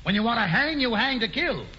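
An actor playing a pompous, scheming colonial governor, grandiose and sly in 1940s radio acting, on a muffled old broadcast. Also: male voice, very high voice, big pitch swings, moderate pace, bright, forceful tone, slight background noise, exaggerated voice. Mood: oratorical. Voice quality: grandiose, mock-genial, sly. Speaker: governor Stuyvesant.

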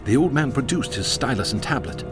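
A man narrating a horror radio drama in an ornate, measured, theatrical manner. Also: medium pitch, animated. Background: music.

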